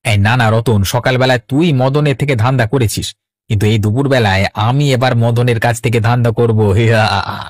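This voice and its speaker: A man's voice: medium pitch, fairly quick, no room sound, close to an everyday voice. An actor as a cocky, wily village con man. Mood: boastful scheming. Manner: cocky, coaxing. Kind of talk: Bengali cartoon voice acting.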